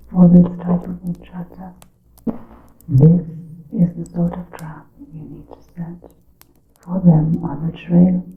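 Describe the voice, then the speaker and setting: Woman as a slow, whispering scheming witch elder. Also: on the low side. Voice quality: breathy whisper, creaky. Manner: deliberate, formal, archaic diction with long pauses. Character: scheming female witch elder instructing her secret coven. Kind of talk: ASMR roleplay, scripted monologue. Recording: muffled phone-like lo-fi sound, roomy echo.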